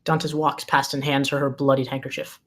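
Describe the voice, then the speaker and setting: A male narrator reads stage directions and scene headings from a script. His pitch sits in the middle, and the delivery is scripted storytelling. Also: level tone, normal speed, a bit bright.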